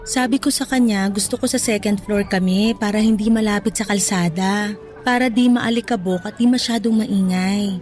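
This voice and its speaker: A woman's voice: medium pitch, fairly even intonation, moderate pace, darkish tone, soft background music, natural voice. An actress voicing a young new mother answering her scolding mother politely and defensively, in a radio drama. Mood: pleading. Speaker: actor.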